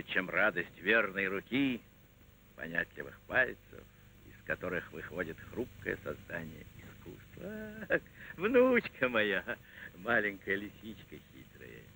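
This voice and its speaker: Merry old grandpa craftsman from a puppet cartoon, gravelly and bright, old noisy soundtrack. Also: lowish voice, heavily acted. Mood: tender, playful. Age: older. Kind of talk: dramatic monologue in a puppet animated film. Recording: old film soundtrack, faint noise.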